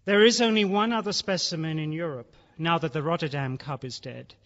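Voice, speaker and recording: An actor playing a genial, sly gentleman who keeps exotic beasts, effusive and genteel in a theatrical radio drama style. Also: on the high side, a little fast. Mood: proud, relishing. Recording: dull, noisy old radio-drama recording.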